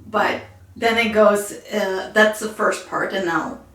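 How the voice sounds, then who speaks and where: A woman with a Ukrainian accent, talking casually and softly in a personal vlog, pausing often with fillers. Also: medium pitch, steady tone, reverberant. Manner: soft-spoken, halting with fillers. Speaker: vlogger.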